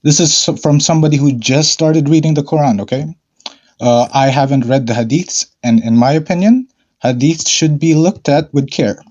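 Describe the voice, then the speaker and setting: Guy calling in, kinda hesitant, clears his throat a lot, audio a bit muffled. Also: a little high, normal speed, a little mellow. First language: not Arabic. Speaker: caller. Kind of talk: casual debate call-in.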